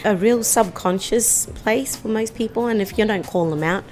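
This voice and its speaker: A woman's voice: medium pitch, normal speed, darkish tone. A middle-aged woman telling a personal story in a reflective, firm, conversational way. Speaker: interviewee.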